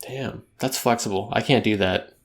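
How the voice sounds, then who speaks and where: A man's voice: lowish voice, moderate pace. A man co-hosting a podcast in casual chat, teasing and cracking jokes with frequent laughs. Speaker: podcast co-host.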